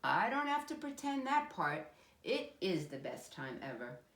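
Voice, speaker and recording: A woman's voice: lowish voice, big pitch swings, a little slow, fairly bright tone, full character voice. A woman voicing an easygoing, indulgent dad in a storybook who playfully goes along with his young son. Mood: fond, relaxed. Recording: echoey room.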